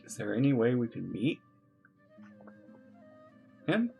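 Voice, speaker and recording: A man's voice: medium pitch, takes it slowly, creaky, faint music behind. A man streaming a game, reacting casually and reading dialogue aloud with exclamations. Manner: animated, exclamatory.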